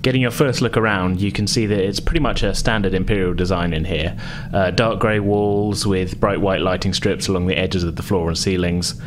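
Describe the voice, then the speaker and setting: Low-voiced man narrating a tabletop game. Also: fairly even intonation, fairly quick, few pauses, noisy background. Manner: conversational, amused, hedging. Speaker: game master.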